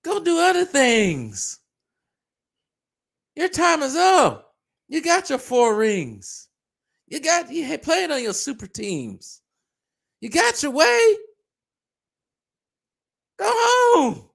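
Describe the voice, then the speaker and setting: Male sports ranter, gravelly and going hoarse, mocking tone, pitch leaping high and low. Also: wildly swooping pitch, takes it slowly, pauses often, fairly bright tone. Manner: mocking, scornful, pleading in jest. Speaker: sports commentator. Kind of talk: ranting basketball commentary.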